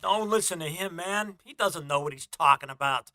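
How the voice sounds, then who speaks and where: Exasperated young punk musician for a motion comic, played by an actor; slangy, blunt delivery. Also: male voice, a little high, normal speed, sharp tone.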